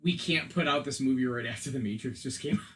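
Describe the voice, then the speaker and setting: A man's voice: medium pitch, fast talker, fairly bright tone. A man in his thirties co-hosting a podcast, chatting casually about films in a rambling, jokey, opinionated way. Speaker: podcast co-host. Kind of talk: casual opinionated film chat.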